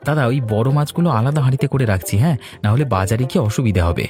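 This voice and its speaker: A man's voice: mid-range voice, expressive, racing, over music, natural voice. A man playing a hardworking, practical village fishmonger in a cartoon, hopeful about his family trade. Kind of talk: Bengali cartoon dialogue.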